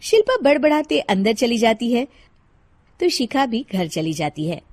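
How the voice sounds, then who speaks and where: A woman tells a scripted moral tale as narrator. Her voice is high, with lively rises and falls. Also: a little slow. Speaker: story narrator.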